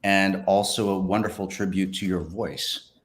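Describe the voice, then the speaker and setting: A man's voice: deep voice, steady tone, moderate pace. A man interviewing in a casual, personal way, effusive and encouraging, often restarting his phrases as he goes.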